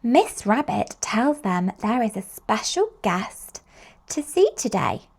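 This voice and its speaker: A woman reading picture books aloud to young children in an expressive, slow storytelling manner. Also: fairly high pitch, husky. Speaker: children's storybook reader and host.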